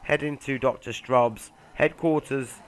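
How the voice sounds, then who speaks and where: A man's voice: mid-range voice, fairly even intonation, measured pace, a little hiss or hum, rough recording. Casual man narrating a game guide. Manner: laid-back, addresses viewers directly. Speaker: gaming video host. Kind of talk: casual game walkthrough voice-over.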